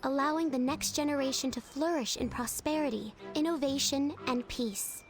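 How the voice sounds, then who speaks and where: An actress playing a gentle, noble young princess in a fantasy game, speaking sincerely and formally. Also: female voice, high-pitched, normal speed, close to an everyday voice. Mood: stately, hopeful. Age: young adult. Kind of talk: fantasy game cutscene acting. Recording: loud music under the voice.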